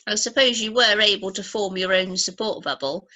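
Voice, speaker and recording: A woman's voice: medium pitch, moderate pace, bright, forceful tone. A woman playing a chatty, gushing, frank young mother-to-be in an amateur radio play, with a gossipy manner. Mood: curious, gossipy.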